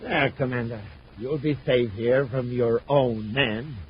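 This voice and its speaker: A man's voice: medium pitch, slow pace, close to an everyday voice. A man playing an arrogant, scheming space prince with pompous, theatrical menace in a vintage radio serial. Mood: smug. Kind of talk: 1950s radio serial acting. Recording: muffled old radio recording, loud noise.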